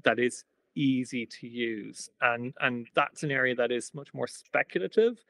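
Guest expert, male, fluent and quick, dry close-miked read. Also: mid-range voice, vocal fry, a bit bright, dry recording. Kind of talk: explanatory interview answer.

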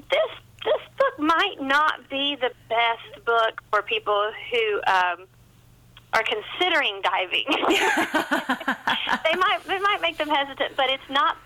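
A woman's voice: on the high side, bright, forceful tone, low-quality recording. A woman talking casually as an author guest in an interview, chatty and self-deprecating.

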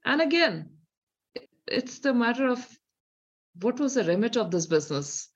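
A woman's voice: fairly high pitch, very animated, normal speed, husky, muffled recording. A middle-aged woman with an Indian accent, co-hosting a talk show with sardonic, questioning commentary. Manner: sardonic, questioning, reads from reports. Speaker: co-host.

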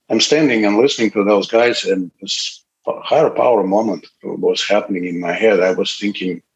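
A man in his late fifties from Russia, speaking, gives a candid, halting personal testimony at a recovery meeting. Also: fairly low pitch, dull audio. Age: 50s. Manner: candid, halting, pauses often.

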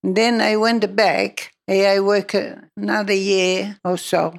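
A woman's voice: mid-range voice, steady tone, slow pace. An older woman recounting her working life in an oral history interview, speaking hesitant, broken English.

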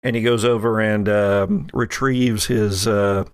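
A man narrating as game master of a role-playing podcast, halting and thinking aloud as he goes. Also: lowish voice, fairly even intonation, smooth, no echo, studio quality.

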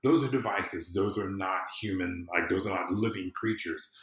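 A man's voice: low-pitched, moderate pace. A man from the American South chatting casually and conversationally as a podcast guest. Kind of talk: casual chat. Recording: echoey room sound.

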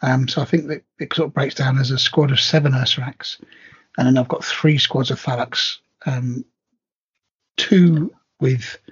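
A man co-hosting a podcast, talking in a relaxed, hesitant way with many ums and a very raspy voice. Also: mid-range voice, a little slow. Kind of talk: casual hobby chat. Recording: dull lo-fi audio.

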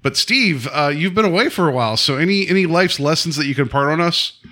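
Jokey male co-host, crisp bright tone, loose rambling podcast chatter. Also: a little high, lively intonation, fast. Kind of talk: casual comic banter. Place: office used as recording studio.

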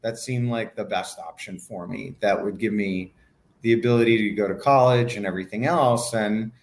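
A man's voice: on the low side, normal speed. Rambling middle-aged man from Hawaii. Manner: rambling, many fillers and restarts. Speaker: guest. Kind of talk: casual interview conversation.

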